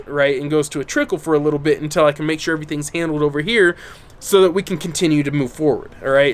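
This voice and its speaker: A man speaks straight to his viewers outdoors in the bright sun. His voice sits a little high and sounds stuffy and clogged. He talks earnestly and keeps checking in with the audience. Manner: earnest, repeats "right?" and "okay?" often. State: stuffy, congested from burnt lungs. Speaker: YouTube channel host. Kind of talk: casual talk to camera.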